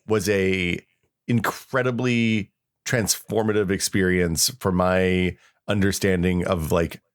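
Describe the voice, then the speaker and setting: Low-voiced middle-aged American man chatting. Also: steady tone, takes it slowly, clean tone. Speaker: podcast co-host. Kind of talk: casual chat, reminiscing.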